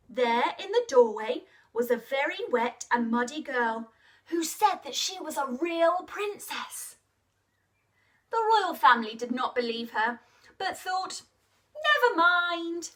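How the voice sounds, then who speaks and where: High bright-voiced woman, children's storyteller. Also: distant mic. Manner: expressive, asks young listeners questions, encourages them to act along. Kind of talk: interactive children's storytime narration.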